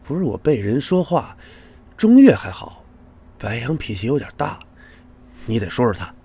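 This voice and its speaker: A man's voice: mid-range voice, very animated, moderate pace, dark tone, slightly rough. An actor in a Chinese audio drama playing a chatty, fawning TV director, deferential and gossipy as he wheedles his bosses. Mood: complaining, wheedling.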